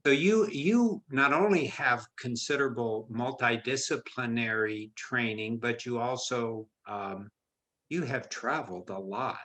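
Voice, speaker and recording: A man hosting a faculty interview, friendly and a bit halting with restarts. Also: mid-range voice, a bit bright. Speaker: interview host, business law lecturer.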